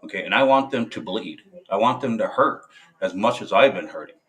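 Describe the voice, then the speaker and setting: A veteran man talking to camera in a casual monologue, halting and hesitant, full of filler sounds and restarts, blunt and profane. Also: fairly low pitch, expressive, very noisy. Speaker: military veteran.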